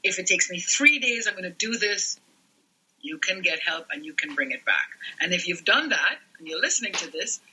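A man's voice: high-pitched, expressive, moderate pace, noisy background. A middle-aged man from Trinidad and Tobago, a lactation specialist explaining earnestly as a podcast guest. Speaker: newborn care and lactation specialist.

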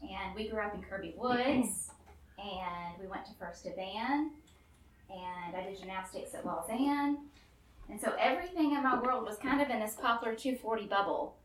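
A woman's voice: mid-range voice, normal speed, fairly bright tone. A woman, sharing a nervous, earnest personal testimony before a live audience and laughing often. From Memphis, Tennessee, US. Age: 30s. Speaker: guest storyteller. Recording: roomy and distant with faint room noise.

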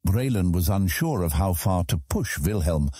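A man narrates scripted fantasy fiction. His voice is very deep, dark and husky. The delivery moves freely in pitch as he tells the story. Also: lively intonation, normal speed, no echo, studio quality.

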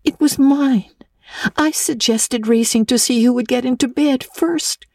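Storybook orphan girl: high, soft-edged, impulsive, dramatic, earnest and breathless. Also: unhurried, no echo, clean studio sound. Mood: contrite. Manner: breathless, theatrical, pleading delivery. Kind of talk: dramatic audiobook reading of classic novel.

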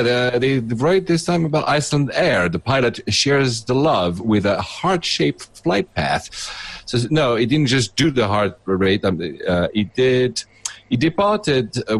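A man from Switzerland, a podcast co-host, chatting casually and rambling through a story with many fillers and restarts. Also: fairly low pitch. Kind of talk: casual chat.

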